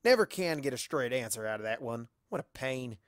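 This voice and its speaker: A man's voice: medium pitch, expressive, brisk, clear, resonant tone, no echo, close to an everyday voice. Plainspoken cartoon farm pony, female read by a man, grumbling and wry, dry close sound. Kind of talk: cartoon fan fiction character reading.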